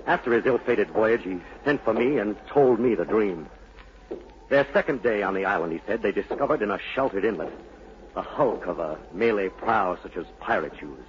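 An actor playing the haunted, brooding son of a mad sea captain in a dramatic, insinuating 1940s radio style. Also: male voice, fairly low pitch, expressive, moderate pace, lightly acted. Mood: brooding, secretive. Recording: muffled, hissy 1940s radio broadcast.

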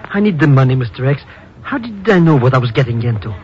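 An actor playing a cowardly, fast-talking small-time secret agent with hammy, wheedling patter in an old radio drama. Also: male voice, medium pitch, very animated, lightly acted. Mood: nervous, complaining. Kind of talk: 1950s radio drama acting. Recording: muffled, hissy old radio recording.

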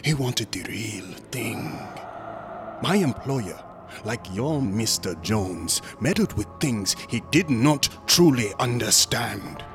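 An actor playing a smug, unctuous museum assistant in a horror radio drama, courteous and insinuating, sometimes dropping into whispers. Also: male voice, mid-range voice, lively intonation, slow pace, over music. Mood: sinister, amused. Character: sinister, smug, unctuous museum assistant guarding macabre exhibits.

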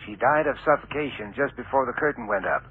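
An actor playing a brisk, urgent male caller on the telephone in an old radio drama. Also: medium pitch, phone-quality sound, slight background noise. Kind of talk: 1970s radio drama acting.

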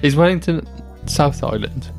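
A man's voice: a little high, animated, measured pace, vocal fry, music in the background. A man co-hosting a casual podcast, tossing in jokey questions and teasing asides. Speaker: podcast co-host. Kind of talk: casual chat.

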